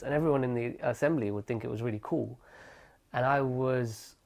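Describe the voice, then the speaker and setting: Young man, interviewee, reflective storyteller, gravelly undertone. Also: medium pitch. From England. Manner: thoughtful, self-correcting, reflective.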